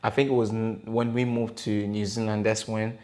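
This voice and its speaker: A man in his thirties from the Democratic Republic of Congo, talking as a podcast guest. His voice sits fairly low, and his speech is hesitant and loose, full of fillers and self-corrections. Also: level tone, moderate pace, dark tone. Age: 30s. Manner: hesitant, many fillers and restarts. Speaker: podcast guest, gospel rapper. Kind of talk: casual interview conversation.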